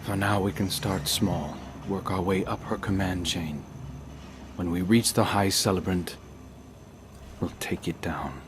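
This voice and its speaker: An actor playing a brooding hunter haunted by strange dreams in a video game, speaking in a halting, introspective way. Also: male voice, sits low, noisy background. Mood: grim, resolved. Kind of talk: cinematic video game dialogue.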